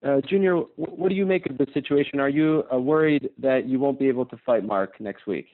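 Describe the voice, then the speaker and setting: A man's voice: mid-range voice, brisk. Man asking questions on a phone line. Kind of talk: press conference questioning over the phone.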